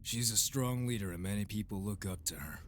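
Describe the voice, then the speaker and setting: A man voicing a calm, grave rebel in an audio drama, speaking in a measured way. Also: fairly low pitch, natural voice. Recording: steady background hiss.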